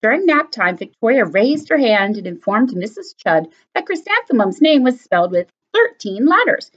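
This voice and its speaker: A woman teacher reading a picture book aloud to her students, expressive and animated. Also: a little high, normal speed. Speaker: elementary school teacher. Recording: slightly muffled lo-fi recording.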